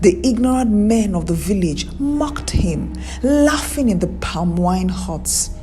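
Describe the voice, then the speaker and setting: A woman narrating a scripted folktale in a measured, dramatic storytelling manner. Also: mid-range voice, lively intonation, warm tone, faint music behind.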